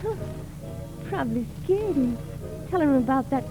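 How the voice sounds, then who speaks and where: An American actress playing a chatty, easily flustered lunch counter waitress in 1940s radio drama style. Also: female voice, high voice, lively intonation, moderate pace, low-quality recording. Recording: old 1940s radio broadcast, music behind.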